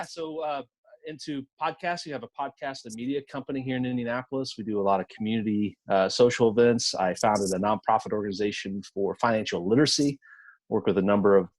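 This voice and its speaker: Earnest low-voiced man, dry close sound, video call panelist. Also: dry recording. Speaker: podcaster, panelist. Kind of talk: online forum introduction.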